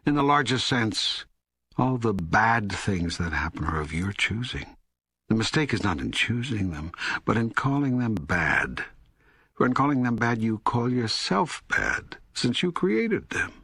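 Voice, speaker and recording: God as a patient, fatherly teacher in a spiritual audiobook. The voice is low and raspy, with very little room sound. Delivery is measured and authoritative, pausing for emphasis. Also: very animated, a bit bright, no echo, exaggerated voice. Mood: solemn, instructive. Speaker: audiobook co-narrator. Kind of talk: scripted narration, spiritual dialogue.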